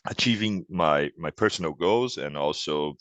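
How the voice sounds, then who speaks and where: A young man in his twenties from Brazil with a Brazilian Portuguese accent, answering interview questions casually and thoughtfully, with restarts and soft laughs. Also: rumbling low, lively intonation, moderate pace, no echo, highs cut off.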